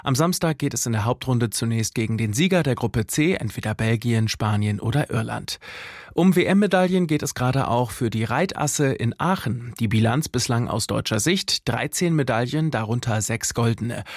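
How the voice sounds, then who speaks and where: Male sports anchor, mid-pitched voice, brisk chatty links, close dry studio mic. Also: animated, dry recording. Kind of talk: scripted news presenting.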